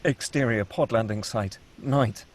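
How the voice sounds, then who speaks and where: A man's voice: mid-range voice, unhurried. A man reading screenplay action and scene headings as the narrator of a table read.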